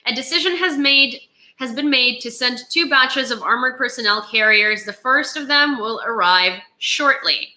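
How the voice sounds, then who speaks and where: A woman's voice: high voice, normal speed, pushed and bright, echoey room. A young woman from Ukraine hosting a casual news live stream, reading headlines and chatting with viewers. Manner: chatty, laughs often, reads headlines aloud.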